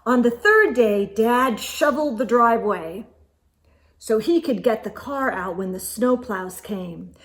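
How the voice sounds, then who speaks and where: A woman reading a picture book aloud to children, expressively, with gasps and hushed asides. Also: fairly high pitch, expressive, a little slow. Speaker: children's read-aloud storyteller.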